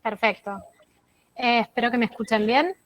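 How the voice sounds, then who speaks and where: A woman with an Argentine Spanish accent gives a courteous, measured presentation over a video call. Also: fairly high pitch, a bit bright. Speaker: product manager presenter. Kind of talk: online presentation.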